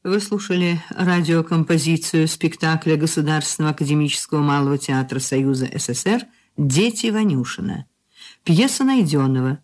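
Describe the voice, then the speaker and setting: A woman radio announcer reading credits in a measured, formal, scripted style. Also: deep voice, darkish tone.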